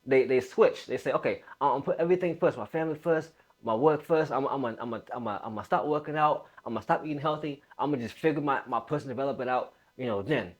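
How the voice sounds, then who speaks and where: A man's voice: medium pitch, fast talker, hardly any pauses. A man in his late twenties giving a casual motivational talk as a fitness coach, emphatic, repeating phrases and using informal bro slang.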